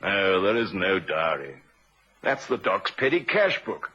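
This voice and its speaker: An actor playing a shrewd, unhurried police inspector in an old-time radio drama, a man with a measured, probing, quietly assured manner. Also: low-pitched, sing-song, slightly rough, full character voice. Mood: wry. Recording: muffled vintage radio recording.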